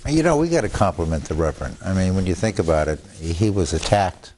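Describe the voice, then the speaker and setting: A man's voice: deep voice, animated, fast talker, a little mellow. An older man, a lawyer whose first language is Italian, talking in a studio interview with restarts and chuckles. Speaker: lawyer guest. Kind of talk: studio talk show interview.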